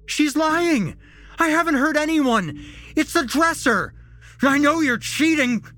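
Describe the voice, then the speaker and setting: A man voicing a panicked, deranged young man in an audio story, whining and shouting that he is innocent. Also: a little high, very animated, brisk, gravelly, soft background music, voice pushed up. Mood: unhinged screaming.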